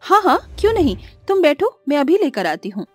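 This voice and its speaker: A woman voicing a sweet, naive and eager young college girl in an animated moral story, earnest and exclaiming. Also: high voice, fairly quick, soft background music. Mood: polite, warm.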